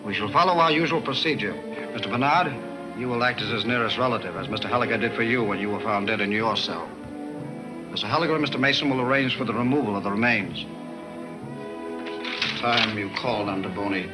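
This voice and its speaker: An American actor playing a cold criminal mastermind in a 1940s film serial, giving orders in a formal, deliberate, menacing way. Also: male voice, lowish voice, lightly acted, poor audio. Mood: cold command. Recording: old film soundtrack, loud music behind.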